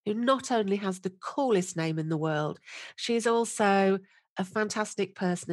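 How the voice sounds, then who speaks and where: A woman's voice: mid-range voice, no echo. A woman hosting a podcast, talking in an effusive, enthusiastic and chatty way. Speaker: podcast host. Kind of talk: conversational podcast interview.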